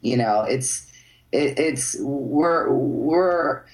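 A woman's voice: rumbling low, very slow, a little mellow. A woman artist talking in a rambling, casual interview style, often checking in with "you know what I mean" and swearing offhandedly. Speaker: artist guest.

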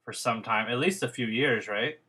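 A man's voice: medium pitch, normal speed, sharp tone, slightly rough. A young man hosting a podcast, chatting casually and rambling with many fillers and restarts. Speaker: podcast host. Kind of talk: casual interview chat.

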